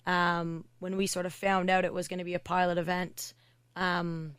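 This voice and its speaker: A woman hockey coach from Canada, a podcast guest, talking in a loud, chatty way with lots of "like" fillers. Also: on the low side, level tone, smooth, dry recording. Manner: chatty, fast storytelling with many "like" fillers. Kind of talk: casual podcast interview.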